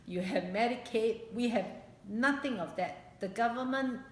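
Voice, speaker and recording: A woman in her late fifties with a Singaporean accent recalls her life in a personal interview, speaking haltingly and repeating phrases for emphasis. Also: on the high side. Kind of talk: personal oral history interview. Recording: echoey room, background hiss.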